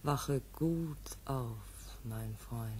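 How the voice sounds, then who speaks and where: A man's voice: mid-range voice, drawn-out words, dark tone, slight background noise. A man reading urgent, half-whispered warnings as an interlude voice in a 1950s radio play. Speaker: reader of interlude texts. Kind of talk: scripted poetic narration in a 1950s radio play.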